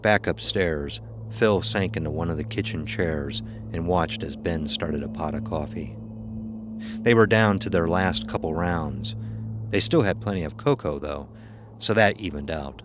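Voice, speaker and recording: A man's voice: low voice, unhurried, noisy background. A man narrating a scripted horror story, with ambience behind him.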